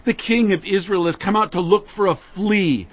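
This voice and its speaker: High-voiced, earnest warrior leader. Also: male voice, normal speed, dull audio. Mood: impassioned pleading. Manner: declamatory, emphatic. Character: bold, earnest warrior leader, loyal and righteous. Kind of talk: dramatized audio Bible acting.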